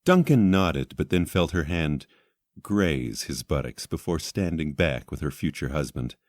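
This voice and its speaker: Very deep-voiced male fantasy narrator. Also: expressive, a little slow, a little mellow, no room sound, clean studio sound. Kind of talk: scripted fantasy story narration.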